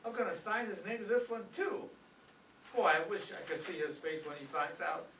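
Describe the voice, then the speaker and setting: An actor playing a mischievous, excitable high school boy with gee-whiz eagerness in an old radio comedy. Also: normal speed. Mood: gleeful. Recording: echoey stage, distant mic, faint noise.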